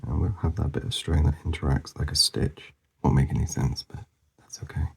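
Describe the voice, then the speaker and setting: Gravelly, mumbling low-voiced man. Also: steady tone, a little fast. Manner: mumbling, rambling and soft-spoken, often slipping into a whisper. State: losing his voice. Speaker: artist demonstrating a drawing. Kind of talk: casual drawing commentary.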